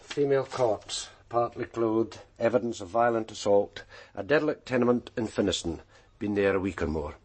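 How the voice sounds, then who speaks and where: Low, husky, wry detective. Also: male voice, moderate pace, darkish tone. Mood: businesslike. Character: gruff, wry male Glasgow police detective. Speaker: police detective. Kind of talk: radio crime drama acting.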